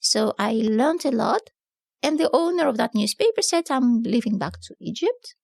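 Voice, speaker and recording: A middle-aged woman from southern Russia with a Russian accent, a newspaper publisher telling her story in a relaxed interview, with many fillers and non-native grammar. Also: on the high side, normal speed, vocal fry, no room sound, studio quality. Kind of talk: casual conversational storytelling.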